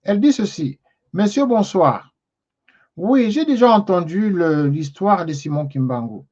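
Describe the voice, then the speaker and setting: Hesitant TV host, muffled sound. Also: male voice, high-pitched, expressive, measured pace, a little mellow. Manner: hesitant, many fillers and restarts. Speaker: television show host. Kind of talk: talk show host reading viewer messages.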